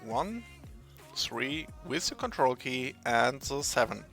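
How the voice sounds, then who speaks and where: A man whose first language is German, explaining software step by step in English, searching for words and pausing as he goes. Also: medium pitch, sing-song, gravelly, soft background music. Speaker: tutorial maker. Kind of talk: screen-recorded software tutorial.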